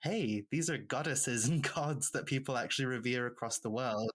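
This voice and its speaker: A woman with a Welsh accent whose first language is Welsh, speaking as a podcast guest. She tells stories in a reflective, unhurried way, with light laughs now and then. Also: very deep voice. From Wales. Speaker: guest author. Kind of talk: casual podcast conversation.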